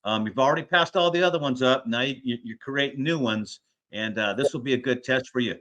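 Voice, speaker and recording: A man's voice: mid-range voice, fairly quick, fairly bright tone, highs cut off. An American man hosting a sports show, talking conversationally as an interviewer.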